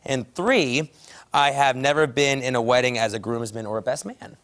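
A man's voice: mid-range voice, normal speed, a bit bright. A young man co-hosting a morning TV show, easygoing and a little flustered, on a lapel mic in a studio. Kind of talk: casual studio banter. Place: TV studio set.

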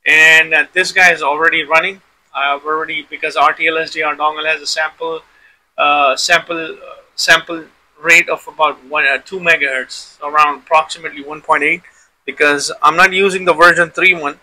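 A man's voice: a little high, flat delivery, moderate pace, sharp tone, low-quality recording. A man hosting an informal tech demonstration, explaining step by step and often repeating himself. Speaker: tutorial host.

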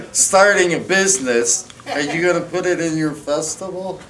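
A man in the audience asking slow, probing questions. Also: a little high, background noise. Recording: echoey room, distant mic, dish clatter.

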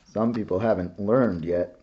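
A man's voice: lowish voice, measured pace, slightly rough, dull audio. A man voicing an earnest, frustrated father in a read-aloud picture book, speaking firmly.

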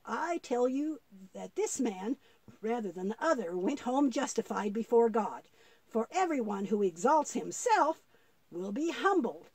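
Woman voicing a patient, slow-talking old grandfather puppet. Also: high voice, darkish tone, no echo, exaggerated voice. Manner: measured, explanatory, quotes scripture. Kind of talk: puppet show dialogue for children.

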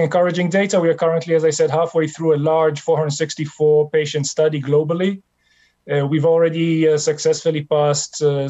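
A man in his forties presents to an online panel with a fairly high, soft-edged voice. He speaks in a measured, halting way, like an executive walking through slides. The sound is a little dull, as from a video call. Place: home office with bookshelves, indoors. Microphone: video call computer microphone.

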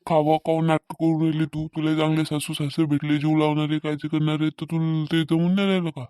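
A man's voice: on the high side, flat delivery, brisk, warm tone, smooth, no echo, lightly acted. An earnest village son caught between parents and wife in a cartoon comedy, played by a man. He reasons and reproaches, recorded dry. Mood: reproachful reasoning. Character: adult son and husband, earnest, torn between family. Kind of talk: animated family comedy acting.

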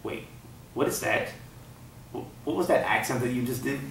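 Man playing film director, medium male pitch, weary, curt, dry, mockumentary comedy, echoey room, slightly distant. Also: moderate pace, fairly bright tone, lightly acted. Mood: weary, impatient.

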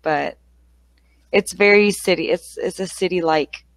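A woman's voice: lowish voice, a little slow. A young woman from Texas with a Texas accent, an English tutor chatting casually on a headset over a video call, friendly and full of "like" and "uh". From Texas, United States. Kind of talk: casual conversation. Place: small room at home. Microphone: headset mic on a video call.

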